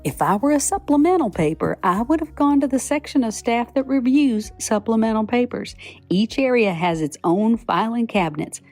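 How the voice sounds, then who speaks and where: A woman's voice: a little high, animated, moderate pace, a little mellow, soft background music, close to an everyday voice. A woman voicing a chatty application paper. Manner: folksy storytelling, cheerful asides. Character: chatty cheerful female membership application paper. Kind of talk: scripted podcast narration.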